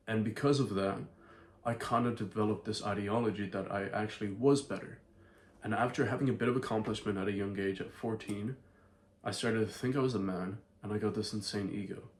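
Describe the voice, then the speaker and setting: A man's voice: on the low side, darkish tone. A young man from Ireland with a blended Irish, American and London accent, chatting casually to camera in a slangy vlog, full of "like" and "bro". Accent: mixed Irish, American and London. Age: young adult. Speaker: vlogger.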